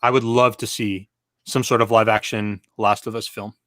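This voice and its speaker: A man co-hosting a casual panel chat, speaking in a relaxed, thoughtful way with many fillers. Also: on the low side, normal speed, no room sound, clean studio sound. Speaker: co-host.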